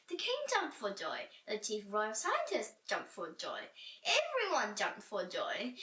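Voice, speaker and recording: A woman reads a children's story with animated, dramatic delivery. Her voice is fairly high and bright. It sounds roomy and echoey, set back from the microphone. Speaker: storybook reader. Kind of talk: expressive children's story reading. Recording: roomy echo, distant from mic.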